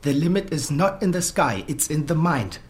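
A man's voice: fairly high pitch, expressive, normal speed, lightly acted. Glib, self-assured ad man, played by an actor. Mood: pitching. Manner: glib, jargon-laden, self-justifying. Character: slick male advertising creative, self-assured and glib. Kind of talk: naturalistic radio drama dialogue.

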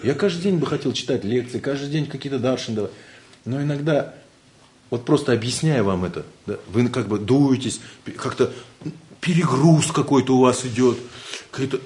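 A man's voice: mid-range voice, a little fast, a little mellow. Joking, rambling Siberian Russian man lecturing. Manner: joking, rambling, laughs often. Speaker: spiritual lecturer. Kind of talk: informal humorous lecture.

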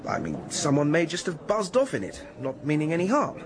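A foolish upper-class Englishman in a radio comedy-mystery. He dithers and rambles in a high voice with very animated pitch. The recording is noticeably noisy. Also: a little fast. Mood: flustered. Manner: flustered, rambling, apologetic. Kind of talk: radio drama acting.

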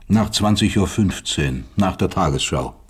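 Low, husky, guarded professor. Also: male voice, lively intonation, measured pace, full character voice. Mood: guarded, dry. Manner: terse, pedantic, guarded. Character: guarded male professor under questioning, drily evasive father. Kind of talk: radio drama dialogue.

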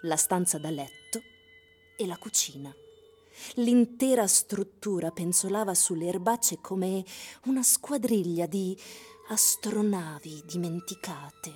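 An actress playing a knowing narrator in a literary radio drama, measured and storytelling, with a husky, mellow voice. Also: female voice, fairly low pitch, soft background music. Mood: wistful, descriptive. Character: wry, knowing female narrator of surreal fiction.

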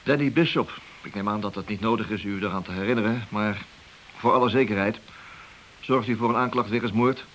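A man's voice: lowish voice, fairly quick, fairly bright tone, lightly acted. A man acting in a radio play as a cunning, sardonic, mock-polite police detective who bends the rules. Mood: subdued. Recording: hissy old radio recording.

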